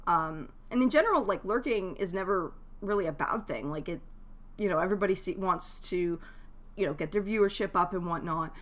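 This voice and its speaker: Low-pitched, chatty woman streamer. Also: lively intonation, brisk, fairly bright tone. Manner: rambling, chatty, often breaks off to address chat; jokey, laughs easily. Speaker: game streamer. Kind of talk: casual livestream chat, giving advice to viewers.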